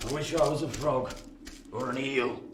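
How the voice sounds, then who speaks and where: A man playing a rough, desperate escaped convict in a radio play, with an English accent and a threatening, commanding manner. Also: medium pitch, steady tone, normal speed, lightly acted. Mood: gruff menace. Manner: threatening, barking orders. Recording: echoey, distant, clearly noisy.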